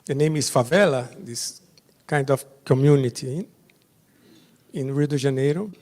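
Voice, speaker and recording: A Brazilian judge whose first language is Portuguese, giving a conference presentation in halting English with short, simple phrases. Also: male voice, mid-range voice, a little mellow. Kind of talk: slide presentation at a summit. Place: large hotel conference hall. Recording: long reverb of a big hall.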